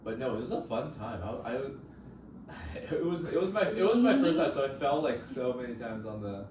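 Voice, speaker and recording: A woman interviewing in casual chat, relaxed, with lots of short "uh-huh" replies. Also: very deep voice, normal speed, reverberant, recorded from a distance, slight background noise.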